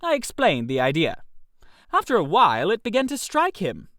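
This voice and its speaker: A man's voice: high-pitched, huge pitch swings, normal speed, a bit bright, dry recording, studio quality. A man narrating a comic short story in character as a chatty upper-class chap, in a theatrical manner. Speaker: narrator reading as a first-person character.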